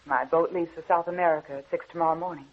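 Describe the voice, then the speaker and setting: Serious principled career gal in old radio play, husky voice, measured and dramatic, muffled lofi recording. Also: female voice, low voice, steady tone. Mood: earnest, firm. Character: earnest, principled career woman, beloved of a scientist.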